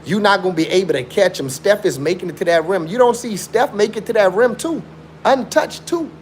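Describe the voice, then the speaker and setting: A man's voice: high voice, lively intonation, fairly quick, slight background noise. A young man from Chicago, raised in South Africa, hosting a casual live stream and arguing his point with repetitive, slangy, emphatic talk. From born in Chicago, raised in South Africa. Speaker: livestream host.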